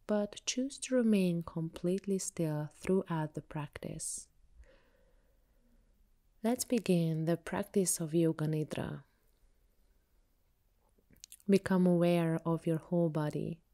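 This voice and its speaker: A woman yoga teacher leading a guided meditation with soothing, measured, unhurried instructions. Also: lowish voice, warm tone.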